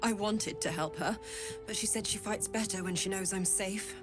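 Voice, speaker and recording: A woman's voice: mid-range voice, moderate pace, music in the background, lightly acted. A woman playing a caring, worried partner of a warrior in a fantasy video game, speaking earnestly and plainly.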